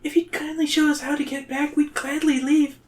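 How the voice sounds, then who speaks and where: A man's voice: extremely high pitch, level tone, fairly quick, voice pushed up. A man voicing a nervous, polite young female companion in a storybook adventure. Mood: nervous pleading. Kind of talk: gamebook read aloud.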